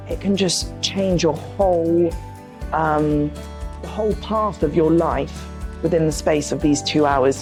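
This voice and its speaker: Low-voiced woman, slow and thoughtful. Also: darkish tone. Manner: thoughtful, with many fillers like "um" and "you know". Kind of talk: interview answer.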